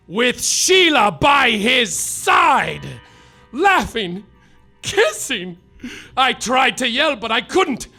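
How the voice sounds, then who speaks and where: Man as an overwrought comic private eye, bright high voice, noir narration, live stage. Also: creaky, exaggerated voice. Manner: overwrought, shouting outbursts. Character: bumbling melodramatic male private detective narrating. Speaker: actor in a live comedy audio play. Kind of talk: hardboiled noir narration spoofed.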